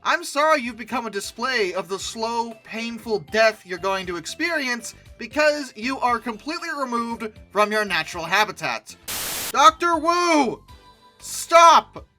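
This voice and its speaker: A woman's voice: a little high, measured pace, faint music behind. A young woman hosting a comedic commentary, sarcastic and exasperated. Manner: sarcastic, exasperated, lively outbursts. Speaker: YouTube host.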